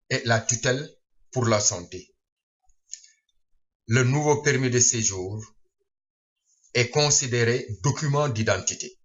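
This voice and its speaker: A man reading a scripted news explainer aloud in short, halting phrases. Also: mid-range voice, fairly bright tone, lo-fi sound. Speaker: reader and translator of a news bulletin.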